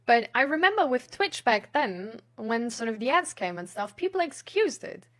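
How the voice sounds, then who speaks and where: Woman with a fairly high voice, informal and rambling, thinking aloud like a streamer chatting to viewers. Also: very animated, moderate pace, a bit bright. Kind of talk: casual rambling chat.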